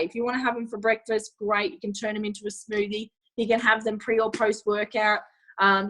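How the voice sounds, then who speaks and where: A woman delivers a lively sales talk to a group, her voice a little croaky from shouting. She keeps checking in with the audience and fills pauses with "um" and "you know". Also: a little high, low-quality recording. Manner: enthusiastic, asks the audience questions, many fillers. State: croaky voice from yelling. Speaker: product presenter.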